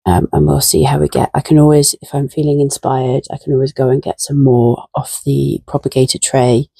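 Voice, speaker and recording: A woman talks in a relaxed, chatty way while she works, her croaky voice gravelly with fry. Her tone is mellow and slightly husky, and the sound is dry and close. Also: very deep voice, a little fast, no echo. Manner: relaxed, chatty, self-deprecating. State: croaky, tired voice. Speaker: gardening vlogger. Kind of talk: casual chat while gardening. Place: garden shed and greenhouse.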